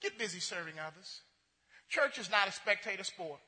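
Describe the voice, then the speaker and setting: A male pastor preaching with a strikingly high, piercingly bright voice. He stammers through restarts and repeats himself, swinging between loud passion and hushed asides. The sound carries the long reverb of a church sanctuary. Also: expressive, normal speed. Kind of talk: sermon.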